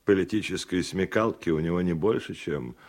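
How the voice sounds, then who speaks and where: An actor playing a cynical, melancholic political reporter in a radio play, gravelly and sardonically emphatic. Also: male voice, sits low, moderate pace. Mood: scornful. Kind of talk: Soviet-style radio play acting.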